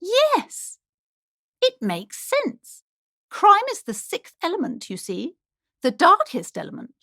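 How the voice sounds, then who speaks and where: A woman's voice: very high-pitched, huge pitch swings, slow pace, creaky, sharp tone, no echo, clean studio sound, full character voice. An actress playing an anxious, superstitious, preachy woman bookshop owner in a video game, speaking in a theatrical, fretful way. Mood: gushing, inspired. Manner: theatrical, fretful, swinging between gushing and alarm. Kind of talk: dramatic video game character dialogue.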